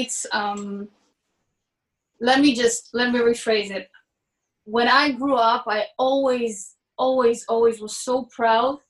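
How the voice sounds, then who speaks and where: A young woman from Israel with a slightly raised pitch speaks as a musician guest in an interview. She answers thoughtfully, pausing and restarting her phrases as she looks for the right words. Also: rough recording.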